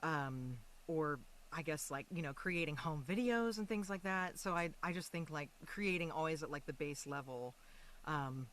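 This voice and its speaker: A woman in a relaxed interview chat, speaking as the guest. Her delivery is informal and animated, with thoughts that branch off and restart mid-sentence. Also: deep voice, moderate pace. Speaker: podcast guest, actor.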